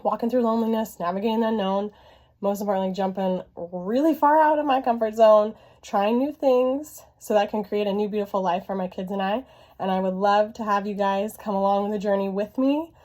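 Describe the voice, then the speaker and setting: A woman, hoarse from illness, talking earnestly and encouragingly to her viewers from her bedroom office. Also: medium pitch, fairly quick, a little mellow. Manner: earnest, encouraging, laughs often. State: hoarse, voice coming and going from illness. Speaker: vlogger sharing her life as a widowed mother. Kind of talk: heartfelt casual talk to camera. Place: home bedroom used as an office.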